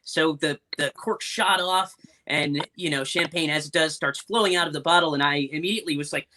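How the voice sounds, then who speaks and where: Bright-voiced young man on video call. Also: a little high, fairly quick. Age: young adult. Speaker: stage actor and Foley performer. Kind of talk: casual panel interview answers. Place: indoors in a room at home, on a video call. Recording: clicky video call with faint noise.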